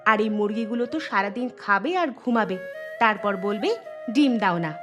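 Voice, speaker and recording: A woman playing a sceptical, sharp-tongued cartoon village wife, sarcastic and teasing, over clear flute music. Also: medium pitch, lively intonation, moderate pace, close to an everyday voice. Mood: mocking. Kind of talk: comic Bengali cartoon dialogue.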